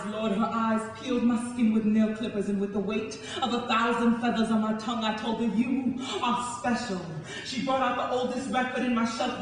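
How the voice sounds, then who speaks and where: A young woman, performing as a spoken-word poet at a live event. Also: a little high. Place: live on stage in a large echoey hall. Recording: echoey hall from far off, faint noise.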